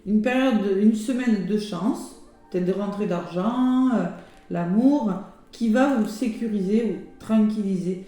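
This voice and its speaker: Woman, hoarse, mellow, chatty card reader, very echoey room, soft background music. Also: mid-range voice, unhurried. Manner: chatty, with many fillers and exclamations. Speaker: tarot and oracle card reader. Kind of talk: casual weekly astrology card reading.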